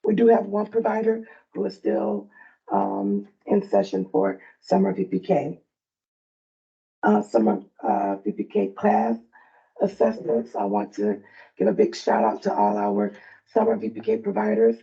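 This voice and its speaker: A woman with a soft, raspy voice presenting updates at a provider meeting in a friendly, loose way. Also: medium pitch, animated, measured pace, lo-fi sound. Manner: friendly, loose, with frequent fillers. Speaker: early learning coalition staff presenter.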